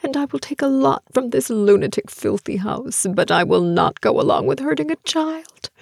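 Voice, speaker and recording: Give the part of a frightened young woman on the edge of hysteria, acted by a female audiobook reader in a raised, breathy voice. She is urgent and desperate yet defiant, in a close, dry storybook recording. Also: fairly high pitch, sing-song, moderate pace, no room sound, voice pushed up, full character voice. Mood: frightened defiance. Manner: breathy, urgent, rising from whispers to shouts. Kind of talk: dramatic audiobook character acting.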